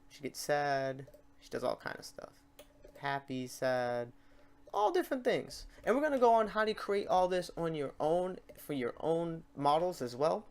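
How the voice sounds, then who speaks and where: A man hosting a software tutorial in a casual, unscripted way, talking to himself as he works through the steps. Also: on the high side, sing-song, unhurried. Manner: informal, talks to himself while clicking through steps. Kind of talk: casual unscripted screen-recorded tutorial narration.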